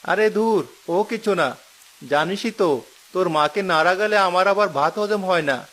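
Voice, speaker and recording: A man as a high-voiced, easygoing cartoon husband. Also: measured pace, a bit bright. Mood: teasing. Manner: easygoing, teasing. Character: lazy, teasing village boatman husband and father. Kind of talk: cartoon story dialogue.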